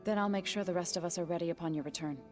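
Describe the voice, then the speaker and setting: A woman's voice: fairly low pitch, close to an everyday voice. An actress playing a proud, loyal warrior woman. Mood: steady. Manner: firm, dry, measured. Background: game music.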